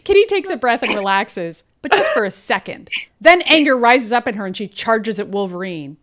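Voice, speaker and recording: A woman's voice: on the high side, expressive, normal speed, a bit bright. A woman reading script narration and giving casual, amused commentary on a video call. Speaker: stage directions reader and commentator on a live table read. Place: indoors at home on a group video call. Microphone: video call microphone.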